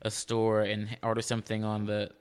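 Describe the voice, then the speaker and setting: A man who sings countertenor, speaking in a high voice, talking earnestly and warmly in a casual interview. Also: completely flat delivery, fairly quick, smooth. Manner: earnest, warm, with frequent restarts. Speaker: countertenor singer, guest.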